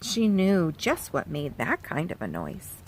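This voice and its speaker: A woman reads a children's storybook aloud with a lively, rising and falling voice. She talks gently to young listeners, pausing to point out the pictures as she goes. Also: fairly low pitch, gravelly, a little hiss or hum, rough recording. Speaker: children's author reading aloud. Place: farm.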